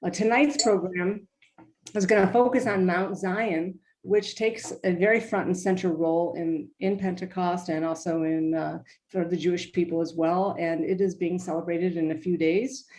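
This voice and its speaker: A middle-aged woman from Michigan introduces a virtual tour as head of a travel company. Her voice sits a little low and sounds mellow and warm. She speaks earnestly, stumbling through fillers and restarts as she goes. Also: fairly even intonation, normal speed, low-quality recording. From Michigan, USA. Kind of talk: casual spoken introduction.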